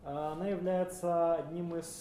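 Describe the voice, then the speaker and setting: A man's voice: on the high side, level tone, normal speed, background noise. A man interpreting a sermon live, hesitant, with filler sounds and frequent self-corrections. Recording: roomy hall with faint ambience.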